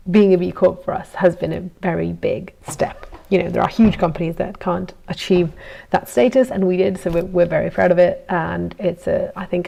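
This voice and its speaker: Chatty goldsmith woman with gravelly undertone. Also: on the low side, mellow, poor audio. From Italy. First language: Italian. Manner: chatty, self-deprecating, with frequent ums and small laughs. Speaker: goldsmith streaming from her workshop. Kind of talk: casual live-stream chat while working.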